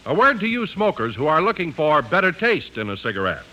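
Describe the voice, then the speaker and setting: A man's voice: a little high, very animated, fairly quick, highs cut off, over music. A man announcing and selling in emphatic, polished 1950s radio style. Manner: emphatic, polished sales delivery. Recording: vintage 1950s radio broadcast.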